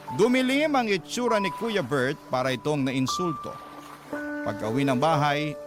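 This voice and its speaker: A man acts as a wistful Filipino storyteller in a radio drama. His voice sits fairly high and earnest, in sad first-person narration under very prominent music. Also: natural voice. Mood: somber. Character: sorrowful letter sender recalling a troubled family. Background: loud music.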